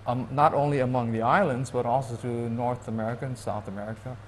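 A man from Hawaii, a guest in an interview, speaking thoughtfully and haltingly with many restarts. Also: mid-range voice, steady tone, a little hiss or hum. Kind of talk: reflective interview talk.

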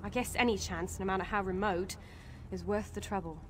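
Actress as a wary, cool elf woman, mid-pitched. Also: steady tone, a little fast, natural voice. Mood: reluctant, resigned. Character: wary, reluctant female wood elf clanswoman. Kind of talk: video game dialogue acting. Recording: game dialogue over clear ambient noise.

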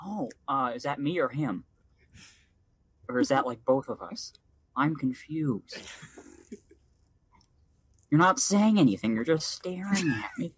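A man's voice: a little high, expressive, a little slow, pauses often, breathy edge, no echo. A man voicing a dim, laid-back slacker with casual, hesitant, slangy speech in an improvised cartoon parody dub. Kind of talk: improvised comedy fandub.

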